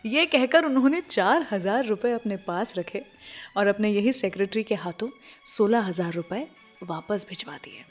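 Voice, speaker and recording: A woman's voice: medium pitch, animated, moderate pace. A woman with an Indian accent hosts a radio show, telling scripted stories conversationally and speaking directly to listeners. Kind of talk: scripted radio storytelling between songs. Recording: music playing behind the voice. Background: background music.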